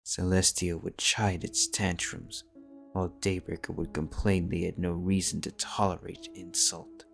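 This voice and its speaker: A man narrating a fanfiction audiobook in a steady, measured storytelling voice. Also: low-pitched, creaky, faint music behind.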